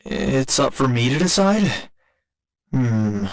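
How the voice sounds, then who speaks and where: A man playing a gentle, earnest robot boyfriend in an ASMR roleplay, speaking in formal, measured, precise sentences. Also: medium pitch, big pitch swings, gravelly, heavily acted. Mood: fond, polite. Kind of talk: ASMR roleplay, scripted intimate monologue.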